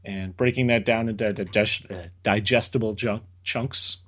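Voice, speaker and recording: A man's voice: on the low side, fairly even intonation, normal speed, a bit bright. A man, a guest engineer on a podcast, explaining technical ideas casually with dry joking asides.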